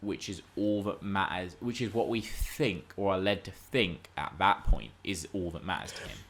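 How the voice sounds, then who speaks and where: A man's voice: deep voice, normal speed, a bit bright, a little hiss or hum. A man co-hosting a podcast, discussing film and TV casually in an emphatic, analytical, argumentative way. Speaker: podcast co-host.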